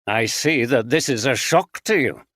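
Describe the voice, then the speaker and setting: A man's voice: mid-range voice, lively intonation, moderate pace, fairly bright tone, dry recording, studio quality. A man playing a shrewd older male detective, probing and then urgent and commanding.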